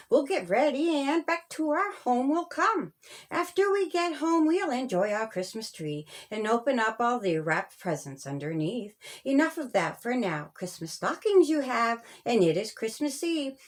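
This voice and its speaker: A woman reads as a kindly storybook mouse father organizing his family. The speech is very slow and measured, instructive and rhyming, in a fairly high voice. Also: sing-song. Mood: gently instructing.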